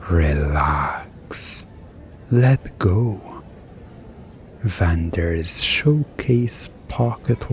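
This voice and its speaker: Extremely slow, hushed man narrating. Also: fairly low pitch, a little hiss or hum. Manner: hushed, lulling, drawn-out delivery. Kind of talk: scripted scene-setting narration for hypnosis ASMR.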